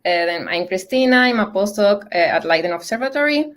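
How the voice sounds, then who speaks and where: A woman's voice: mid-range voice, expressive, a little fast. Chilean woman, 30s, Spanish-native lecturer on a video call; friendly, filler-heavy delivery. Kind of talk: online lecture.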